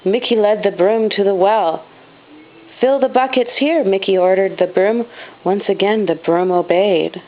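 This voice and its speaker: A woman reading a children's storybook aloud as a mother does at home, with expressive stress on the dramatic words. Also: medium pitch, a little slow. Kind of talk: storybook read-aloud narration. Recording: dull, lo-fi home recording.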